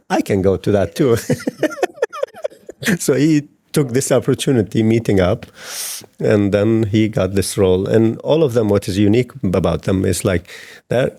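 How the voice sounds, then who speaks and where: Low, dark-voiced hesitant man. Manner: hesitant, many fillers and restarts. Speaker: film director. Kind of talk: interview answers.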